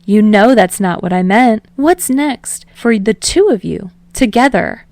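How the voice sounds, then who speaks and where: A prying celebrity interviewer acted by a woman reader presses with knowing eagerness. The voice has some creak and sits close and dry, in audio-story style. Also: mid-range voice, animated, a little slow, darkish tone, dry recording. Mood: prying, playful.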